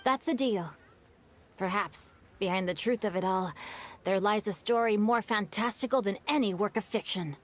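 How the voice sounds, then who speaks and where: A woman's voice: medium pitch, a little hiss or hum, close to an everyday voice. A woman playing a polite bookish young swordsman. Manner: courteous, measured storytelling. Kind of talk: video game dialogue.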